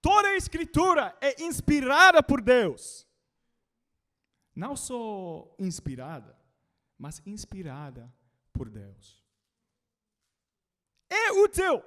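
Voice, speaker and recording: A British man, a native English speaker, preaching a sermon in learner Portuguese and calling out to the congregation. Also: very high-pitched. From Britain. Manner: animated, calls out to the congregation.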